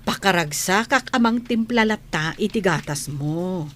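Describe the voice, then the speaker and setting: A woman playing a caring, gently worried household nanny in a radio drama, with a gentle, doting manner. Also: lowish voice, animated, unhurried, a little hiss or hum. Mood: fond, doting. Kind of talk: Filipino radio drama acting.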